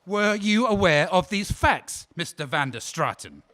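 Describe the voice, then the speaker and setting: A man's voice: sits high, animated, unhurried, bright, forceful tone, heavily acted. A man playing a formal, probing defense lawyer. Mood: pressing. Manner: polite, precise, insistent questioning. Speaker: defense counsel in a courtroom drama. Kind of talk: audio drama acting.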